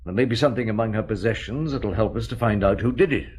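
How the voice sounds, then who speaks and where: An actor playing a patient, shrewd police inspector who questions people in a calm, dry manner. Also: male voice, lowish voice, fast talker, slightly rough. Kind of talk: radio drama acting.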